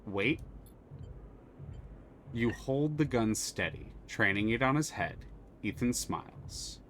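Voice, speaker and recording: A man's voice: mid-range voice, animated, slow, a bit bright, a little hiss or hum. A man streaming a story game, reading its text aloud and chatting casually, swearing freely.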